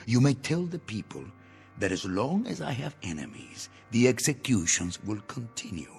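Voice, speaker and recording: An actor playing a paranoid, bitter revolutionary general in a 1960s television drama, with a theatrical, deliberate, menacing delivery. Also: male voice, medium pitch, sing-song, creaky, darkish tone. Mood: defiant, ruthless. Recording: old TV soundtrack, echoing, music underneath.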